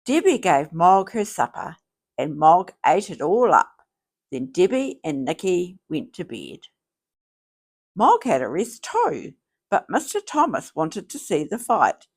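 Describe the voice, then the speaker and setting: A woman reading a children's storybook aloud in a theatrical, deliberate way. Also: fairly low pitch, wildly swooping pitch, slightly rough. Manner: slow, theatrical, deliberate phrasing. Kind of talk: expressive scripted storybook read-aloud.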